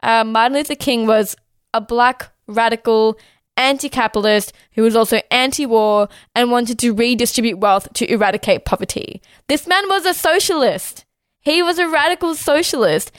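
A young woman hosting a podcast in casual chat. She has a sore throat, so her voice is slightly hoarse, and she talks fluently with many "like" and "uh" fillers. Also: fairly high pitch, no echo, clean studio sound. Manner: chatty, fast-flowing, with "like" and "uh" fillers. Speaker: podcast host.

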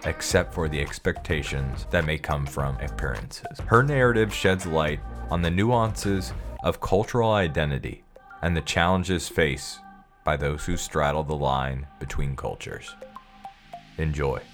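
A man hosts a podcast with a voice pitched very low. He reads his opening in a scripted way with a dry, self-deprecating aside, then chats loosely with his guest. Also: a little slow, soft background music. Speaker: podcast host. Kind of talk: scripted intro, then casual interview.

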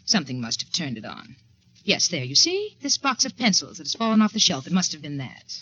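An actress playing a cool, formal, faintly sinister secretary in 1940s radio style. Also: female voice, deep voice, sing-song, fairly quick, sharp tone, breathy edge, full character voice. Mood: pointedly calm. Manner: stiffly formal, pointed. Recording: noisy, dull 1940s radio recording.

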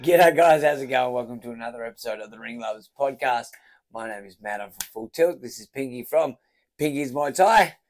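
Australian man, podcast host and boxing coach, mid-pitched, loose and jokey. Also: animated, brisk. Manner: laughing, swearing, loose banter. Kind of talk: casual chat.

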